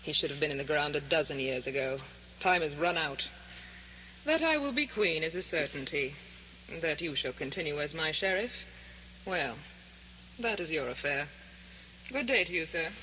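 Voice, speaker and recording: A woman's voice: sits low, animated, normal speed, pauses often, sharp tone, poor audio. An actress playing an imperious, bloodthirsty noblewoman in a radio drama, speaking with icy, commanding, precise theatrical diction. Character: imperious, bloodthirsty lady plotting to be queen. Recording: old radio broadcast, faint hum.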